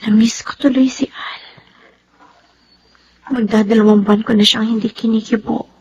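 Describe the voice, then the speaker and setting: A Filipino woman playing a troubled, loving young wife and mother in a radio drama, with a breathy, emotional, melodramatic delivery. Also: on the high side, steady tone, normal speed, darkish tone, muffled recording, a little hiss or hum, lightly acted. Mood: wistful, tender.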